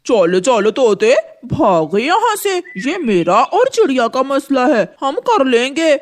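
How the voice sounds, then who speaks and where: A woman's voice: sits high, big pitch swings, takes it slowly, heavily acted. A woman voicing a greedy, bullying male crow farmer in a children's cartoon, with a scolding, possessive manner. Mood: stern, angry.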